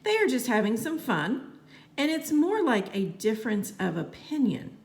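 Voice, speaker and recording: An uneasy woman school principal from a children's storybook, acted out by a woman reader. She downplays things with forced breeziness, her pitch jumping up and down in an animated way. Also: normal speed. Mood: uneasy, placating. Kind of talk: acted dialogue in a children's read-aloud.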